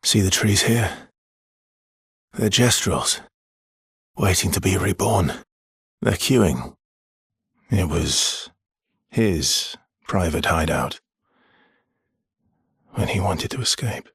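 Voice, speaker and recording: Verso, a very husky, weary expeditioner. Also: male voice, deep voice, takes it slowly, long pauses, fairly bright tone, no room sound, studio quality, full character voice. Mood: guarded, matter-of-fact. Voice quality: husky, breathy. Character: Verso, weary guarded male expeditioner with quiet regret. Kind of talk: video game voice lines, dramatic acting.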